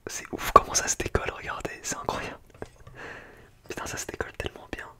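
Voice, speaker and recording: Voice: fast talker, sharp tone. Whispered, chatty ASMR voice. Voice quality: breathy, unvoiced whisper. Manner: informal, slangy, chatty. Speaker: ASMR video creator and host. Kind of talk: ASMR whispering, casual food tasting review.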